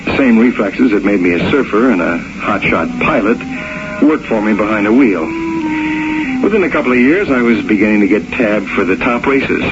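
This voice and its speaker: An actor playing a hotheaded, lovesick young combat pilot in a 1970s radio drama, speaking informally and impatiently. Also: male voice, fairly low pitch, expressive, moderate pace, over music, lightly acted. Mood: reminiscing. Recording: muffled, noisy 1970s radio recording.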